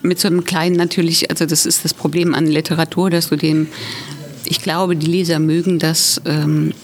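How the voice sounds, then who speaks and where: A woman author from Thuringia, a guest on a podcast, talking frankly and lively in casual chat and agreeing often. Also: low-pitched, normal speed. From Thuringia, Germany.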